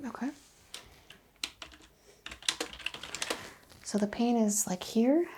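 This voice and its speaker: A woman playing an attentive, reassuring chiropractor in a soft-spoken ASMR roleplay, asking questions in a hushed, unhurried way. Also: a little high, slight background noise, close to an everyday voice. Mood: calm, attentive. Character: attentive, reassuring female chiropractor taking a history. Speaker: ASMR performer playing a clinician.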